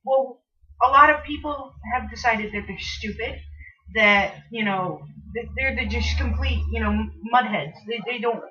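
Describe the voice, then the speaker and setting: A woman with a squeaky voice co-hosting a casual chat, talking haltingly with many restarts. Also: bright, forceful tone. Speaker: co-host. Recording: distant mic, dull lo-fi sound.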